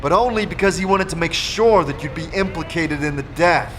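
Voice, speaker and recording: A man's voice: a little high, brisk, a bit bright, a little hiss or hum, pitched above the performer's own voice, natural voice. A man playing a blunt adventurer turned investigator in a fantasy audio drama, direct and firm in his questioning. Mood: firm, accusing.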